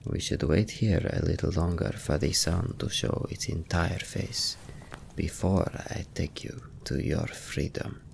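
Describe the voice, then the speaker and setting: Man voicing a merciful vampire lord's son, very gravelly, slow, formal and soft-spoken, ASMR fantasy roleplay. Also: sits low, steady tone, exaggerated voice. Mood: grave, hushed. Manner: formal, archaic, softly spoken. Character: gentle, sorrowful young vampire nobleman, secretly merciful.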